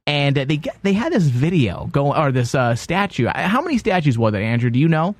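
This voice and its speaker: A man's voice: fairly high pitch, brisk, no echo. Stuffy-nosed man joking as host. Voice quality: nasal. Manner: joking, rambling, lively. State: sick, stuffy nose. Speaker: podcast host. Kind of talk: casual comedic chat.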